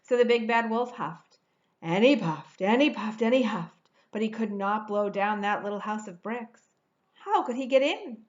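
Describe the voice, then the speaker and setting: A woman's voice: on the high side, animated, normal speed, muffled recording. A woman in her forties reading a children's storybook aloud expressively, stretching words for dramatic emphasis. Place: indoor room at home.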